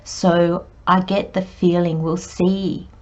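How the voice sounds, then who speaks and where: Woman tarot reader, croaky voice, lowish pitch, slow and meandering, dull lo-fi recording. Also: level tone, darkish tone. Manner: unhurried, meandering with many pauses and fillers. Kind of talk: spoken tarot reading monologue.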